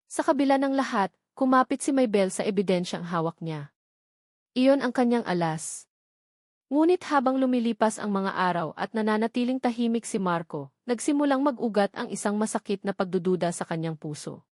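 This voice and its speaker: A woman reading a scripted, dramatic family love story as narrator, pausing between short phrases for effect. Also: medium pitch, lively intonation, darkish tone, dry recording, clean studio sound.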